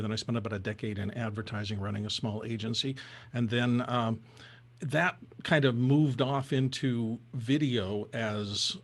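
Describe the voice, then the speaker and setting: An American man in his sixties casually introducing himself as a panelist on a video call. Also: medium pitch. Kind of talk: self-introduction, casual talk. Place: indoor home studio, on a video call.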